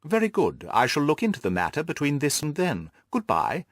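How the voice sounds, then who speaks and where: A man voicing a brisk, courteous and confident detective with precise, self-assured speech in an audiobook. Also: on the high side, wildly swooping pitch, no room sound, clean studio sound, pitched above the performer's own voice. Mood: courteous, decisive. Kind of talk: audiobook character dialogue.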